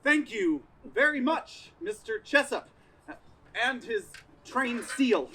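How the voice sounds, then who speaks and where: A man's voice: extremely high pitch, big pitch swings, slow pace, noisy background, heavily acted. A man playing a flustered, formal old-time radio announcer who stumbles over lines in a stage comedy, with music behind. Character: flustered 1930s radio announcer presenting broadcast interruptions.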